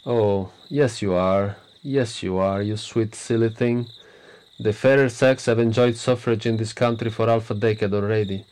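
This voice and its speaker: An actor playing a pompous, smug prime minister in a radio play, lecturing with boastful self-importance. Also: male voice, fairly low pitch, steady tone, moderate pace, a little mellow, lightly acted. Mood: smarmy. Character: pompous arrogant male prime minister, middle-aged. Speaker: politician.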